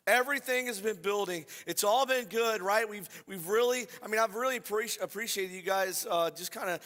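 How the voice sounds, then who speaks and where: A man preaching as a pastor in a conversational, informal way, repeating phrases and checking in with listeners, his voice a little scratchy and hoarse. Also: high-pitched, fairly quick, pushed and bright. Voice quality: slightly scratchy. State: slightly hoarse from voice loss. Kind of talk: conversational sermon to a congregation. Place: church hall. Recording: reverberant hall sound.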